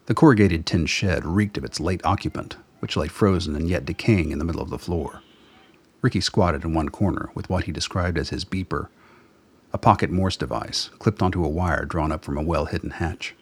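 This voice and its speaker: A man narrates a radio drama from a script. His voice is low and deep, with a husky, breath-roughened grain. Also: moderate pace.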